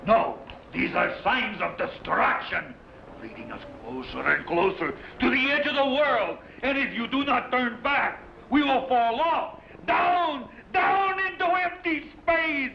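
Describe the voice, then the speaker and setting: Creaky sneering mutinous sailor, slow and doomy, old noisy radio drama. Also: male voice, very high voice, animated, heavily acted. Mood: fearful, ominous. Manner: melodramatic, sneering, ominous. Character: bitter, fearful, superstitious mutinous male Spanish sailor. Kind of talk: old-time radio adventure acting. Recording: dull, noisy vintage radio recording.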